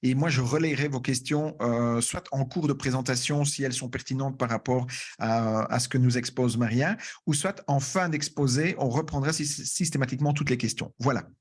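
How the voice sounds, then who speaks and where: A man hosts an online masterclass and introduces a guest over a video call. His voice is of middling pitch, and he speaks haltingly, with frequent fillers and restarts. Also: breathy edge. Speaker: webinar host.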